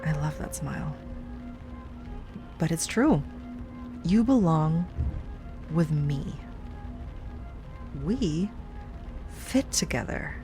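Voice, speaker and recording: Slow, low-voiced obsessive ex-girlfriend, acted by a woman. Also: expressive, full character voice. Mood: tender, possessive. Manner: slow, breathy, drifting into whispers. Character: obsessive stalker ex-girlfriend, sweet, menacing, lovesick woman. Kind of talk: intimate dramatic voicemail monologue, audio roleplay. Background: rain and music.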